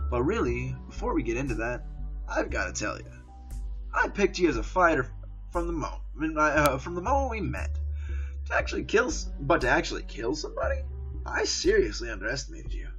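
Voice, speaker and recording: A man's voice: fairly high pitch, big pitch swings, moderate pace, fairly bright tone, muffled recording, faint music behind, pitched above the performer's own voice. A man voicing a shady male coworker, a cocky and teasing small-time criminal. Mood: sly.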